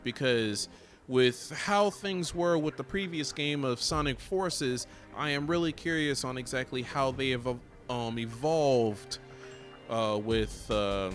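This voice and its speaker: A man giving casual reaction commentary in a musing, halting, rambling way, as if thinking out loud. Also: mid-range voice. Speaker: reaction video host. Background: loud video game cutscene music and sound.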